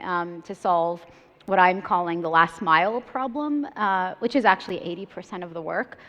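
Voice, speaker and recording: Low-voiced woman on a conference stage. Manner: casual, fluent, filler-heavy with frequent "like" and "you know". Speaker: startup CEO panelist. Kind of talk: conference panel discussion. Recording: reverberant hall, dull lo-fi sound.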